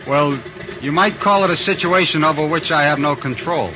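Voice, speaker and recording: A man's voice: fairly high pitch, normal speed, close to an everyday voice. A man playing a polite, slightly hesitant airline employee in a 1940s radio crime drama. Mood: polite, stalling. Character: polite male airline employee calling out to passenger. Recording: very noisy, muffled old radio drama.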